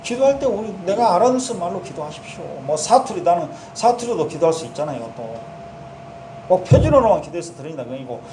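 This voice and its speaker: A man's voice: high-pitched, big pitch swings, fast, warm tone, reverberant, slight background noise, low-quality recording. A male pastor with a Jeolla accent, giving a conversational sermon and voicing sample prayers in the first person. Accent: Jeolla dialect.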